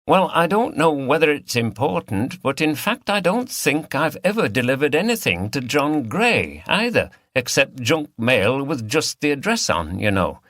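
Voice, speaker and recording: A man's voice: medium pitch, sing-song, normal speed, few pauses, a bit bright, dry recording, studio quality, pitched above the performer's own voice. A man voicing a hesitant, wordy village postman in a mystery audiobook. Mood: unsure, puzzled.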